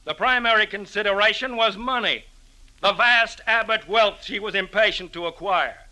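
Male district attorney, old-time radio, declamatory, formal, high-pitched, piercingly bright. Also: normal speed, low-quality recording. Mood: accusing. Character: forceful male district attorney prosecuting a murder trial. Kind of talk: old-time radio acting, courtroom oratory.

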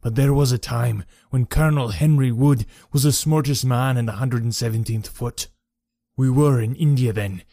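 A hunched old soldier pours out a bitter, gruff confession, as a male audiobook reader puts him on. The voice sits in a middling pitch. The recording is close and dry. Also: a little mellow, dry recording. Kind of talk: audiobook reading.

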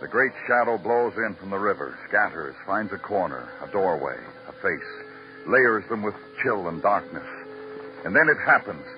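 Male actor for world-weary noir detective, low register, terse and moody, dull vintage radio sound. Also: close to an everyday voice. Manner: terse, moody, poetic delivery. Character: world-weary, brooding male New York police detective narrating his case. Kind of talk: 1940s-50s radio noir narration and acting. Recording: muffled vintage radio broadcast.

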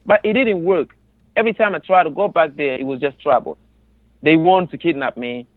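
A man with a Congolese accent speaks over a narrow, phone-like connection in a somewhat high voice. He gives personal testimony as an interview guest. His manner is earnest and emphatic, circling back to repeat his phrases. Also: a little fast, no room sound. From Democratic Republic of the Congo, raised in a refugee camp in Tanzania. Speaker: interview guest, resettled refugee.

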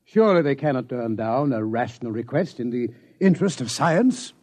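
An actor playing a dignified, formal observatory director with precise, stuffy speech in a vintage radio drama. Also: male voice, medium pitch, lively intonation, brisk, close to an everyday voice. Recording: dull, lo-fi old radio recording.

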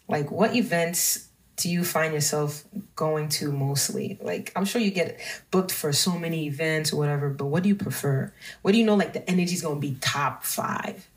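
Woman hosting a podcast, casual n playful, lowish voice, repeats herself for fun. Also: normal speed, rough recording. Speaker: podcast host. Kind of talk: casual chat.

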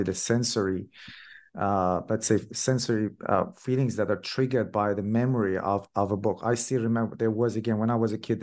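A man's voice: lowish voice, a little mellow. A man in his thirties from Iran, a native Persian speaker, hosting an interview in a casual, personal and slightly tentative way. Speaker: podcast host. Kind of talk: conversational interview.

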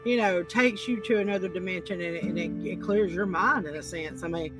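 Woman podcast host, raspy and deep-voiced, chatty and rambling. Music bed behind her. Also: a little fast. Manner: rambling, repeats words, many "you know"s. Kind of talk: casual interview chat.

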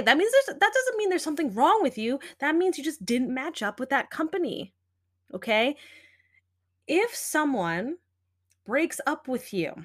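A young adult woman hosting a solo podcast, talking in a loud, animated, emphatic way with plenty of fillers. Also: fairly high pitch. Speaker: podcast host. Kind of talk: casual solo podcast talk.

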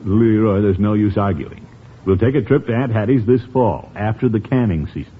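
An actor playing a pompous, blustery middle-aged uncle in a 1950s radio comedy. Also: male voice, lowish voice, moderate pace, close to an everyday voice. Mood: exasperated, firm. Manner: pompous, theatrical. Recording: tinny, noisy old radio recording.

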